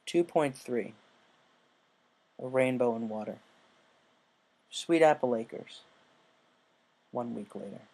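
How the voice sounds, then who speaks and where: A man's voice: mid-range voice, slow pace, gravelly, pauses often, dark tone, low-quality recording. Male narrator, mid-range voice, reading scripted fan fiction. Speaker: audiobook narrator.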